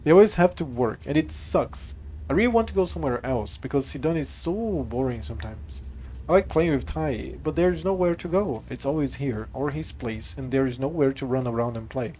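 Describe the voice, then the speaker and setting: Medium-pitched casual game streamer. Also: animated, a little fast, warm tone, poor audio. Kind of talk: casual playthrough commentary and reading game text aloud.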